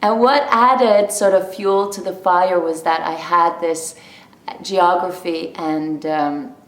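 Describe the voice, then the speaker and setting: A woman from Norway talks straight to camera in a relaxed vlog, telling her story slowly and with plenty of laughs. Her voice sits a little low, and the room gives it a noticeable echo. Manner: chatty, animated storytelling with laughs. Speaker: vlogger. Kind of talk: casual talk to camera.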